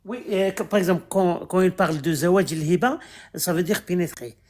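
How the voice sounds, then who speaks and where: A man's voice: high voice, fairly quick. A man in a casual debate who explains hesitantly, often repeating his words.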